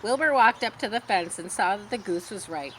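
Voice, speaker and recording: A woman reading a children's story aloud expressively, pausing to explain words in simple asides. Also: medium pitch, animated, fairly bright tone, a little hiss or hum. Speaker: storybook reader.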